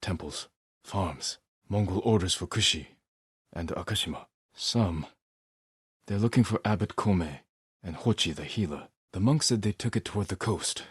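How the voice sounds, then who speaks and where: An actor voicing a solemn, resolute, honourable samurai in a video game, speaking in a terse, measured, serious way. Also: male voice, sits low, slightly rough, dry recording, clean studio sound. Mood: low, intent.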